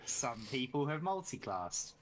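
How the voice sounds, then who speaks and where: A player in a tabletop game who speaks in a giggly, animated way. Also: mid-range voice, measured pace, a crowd in the background.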